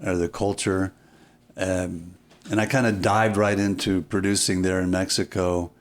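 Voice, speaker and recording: A man with a lowish voice tells stories as a guest in an interview. He is reflective and deliberate. He halts, restarts and repeats key phrases for emphasis. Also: flat delivery. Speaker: podcast guest, filmmaker.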